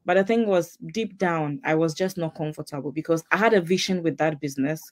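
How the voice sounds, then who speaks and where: A middle-aged woman from Ghana, hosting a casual live chat, chatty and quick to laugh. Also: low-pitched, dry recording, studio quality. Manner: chatty, laughs easily, repeats phrases. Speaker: host.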